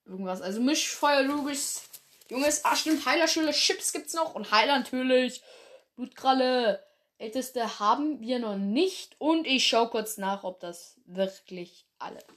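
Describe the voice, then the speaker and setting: A woman's voice: fairly high pitch, expressive, moderate pace, fairly bright tone. A woman chatting casually in her bedroom, giggly and rambling, laughing at her own mistakes. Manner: giggly, rambling, self-deprecating. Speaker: host of a fan clan roleplay channel.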